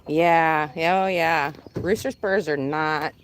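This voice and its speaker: A woman chatting casually on a live stream from her chicken coop outdoors, talking to her birds, with a calm, disinterested-sounding voice. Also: low voice, slow, slight background noise. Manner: chatty, laughs often, talks to her birds. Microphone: phone held in hand.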